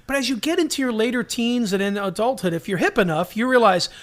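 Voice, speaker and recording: A man with a notably high-pitched voice chats as a podcast co-host. His delivery is casual and jokey, and he likes to act out small mock quotes in the middle of his banter. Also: fast talker. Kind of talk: casual nostalgic banter about superhero TV.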